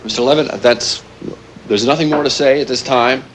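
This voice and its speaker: An actor playing a grave, earnest former weapons planner turned militant, delivering a deliberate televised statement in a realistic drama. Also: male voice, medium pitch, fairly even intonation, a little hiss or hum, lightly acted, low-quality recording. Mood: firm. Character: grave male former weapons planner turned anti-nuclear hostage-taker.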